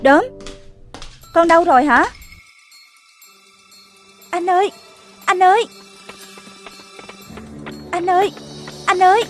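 A woman's voice: very high-pitched, slow, long pauses, soft background music. A woman voicing an anxious, flustered mother animal in a children's cartoon, fretful and repeating herself.

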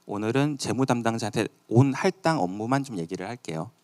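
A man's voice: mid-range voice, animated, brisk, a little mellow. A man giving a live product demo at a company event in a conversational way. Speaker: presenter at a company tech event.

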